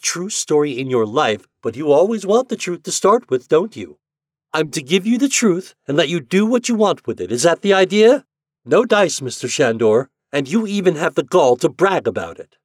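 A man voicing a bitter, sharp-tongued young woman who talks in a clipped, hard, mocking way. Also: a little high, no room sound, clean studio sound, voice pushed up.

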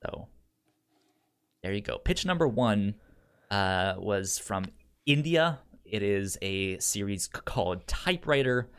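A man with a pronounced stutter, speaking haltingly and casually as a podcast co-host pitching shows. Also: expressive, fairly bright tone, clean tone. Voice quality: pronounced stutter with repeated sounds and syllables.

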